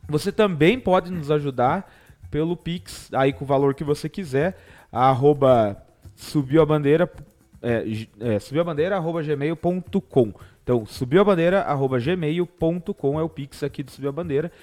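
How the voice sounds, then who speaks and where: A man's voice: fairly high pitch, normal speed, a little mellow. A Brazilian man hosting a casual live football talk show. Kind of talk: casual sports chat on a live stream. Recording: background music behind the voice.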